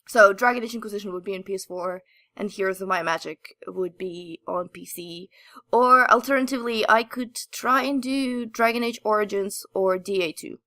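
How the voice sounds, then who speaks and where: A woman co-hosting a podcast in casual chat, with a playful, expressive way of talking. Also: medium pitch, normal speed, dry recording, studio quality. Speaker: podcast host.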